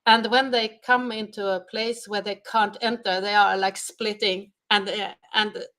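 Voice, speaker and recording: Slow, halting woman guest. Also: medium pitch, flat delivery, fairly bright tone. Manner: slow, halting, searching for words. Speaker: guest, sound healer. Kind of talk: conversational interview answers.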